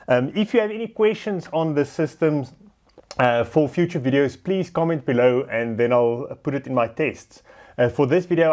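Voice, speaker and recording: A man's voice: medium pitch, a little mellow, dry recording. A man giving a casual, unscripted product test as a vlogger, thinking aloud in an informal, self-deprecating way. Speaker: vlogger testing motorcycle gear. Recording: noisy motorbike and outdoor background noise.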